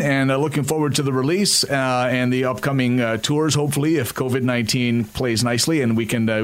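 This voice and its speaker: A man from French Canada hosting an interview show, asking long, rambling questions with many fillers. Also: mid-range voice. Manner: rambling, filler-heavy phrasing.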